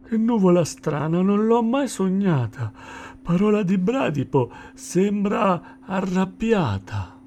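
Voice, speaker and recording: A man voicing a gentle, dreamy sloth in a rhymed children's story, with a slow drawl. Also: on the high side, sing-song, slight background noise, pitched above the performer's own voice. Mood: puzzled.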